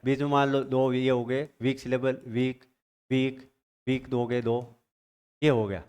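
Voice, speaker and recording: A male teacher giving an exam-prep literature lecture, explaining step by step, reading definitions slowly and checking in with his students. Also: on the high side. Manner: reads English definitions slowly.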